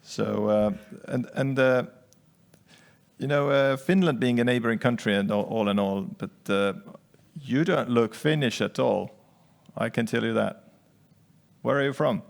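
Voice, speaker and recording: A man hosts a conference and chats casually with his guest, cracking jokes and stumbling through plenty of fillers. His voice is mid-pitched with a gravelly edge. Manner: joking, halting with many fillers. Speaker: conference host. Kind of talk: casual onstage chat.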